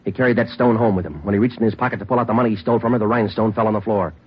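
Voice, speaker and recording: An actor playing a terse, relentless police sergeant in an old radio procedural, matter-of-fact and deadpan. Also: male voice, on the low side, rapid-fire, husky. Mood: pressing, deadpan. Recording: muffled old radio broadcast.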